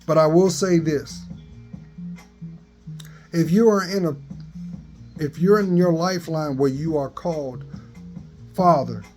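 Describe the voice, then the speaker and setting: Man in his fifties, dry voice, preachy and earnest, very slow, phone selfie video, faint guitar behind. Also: fairly high pitch, a little mellow. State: dry voice, coughing. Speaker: vlogger. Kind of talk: motivational selfie vlog. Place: open garage, daytime. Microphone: phone at arm's length.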